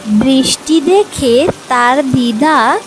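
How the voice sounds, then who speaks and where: A woman's voice: high voice, lively intonation, extremely slow, slight background noise, rough recording. A woman reading scripted narration for a children's fairy tale as its storyteller.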